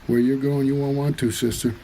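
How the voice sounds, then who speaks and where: Gravelly, world-weary noir cabbie played by a man. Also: mid-range voice, flat delivery, a little fast, mellow, slight background noise, close to an everyday voice, rough recording. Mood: wry, weary. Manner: hard-boiled, laconic, plain-spoken. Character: world-weary, wry male cab driver for the dead. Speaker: narrator and lead character. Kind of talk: noir audio drama narration and dialogue.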